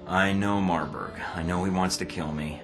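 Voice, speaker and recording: A man's voice: deep voice, steady tone, normal speed. An actor playing a terse, dry-witted, guarded male spy operative in a video game drama, with sardonic control. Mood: firm, persuasive. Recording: music playing behind the voice.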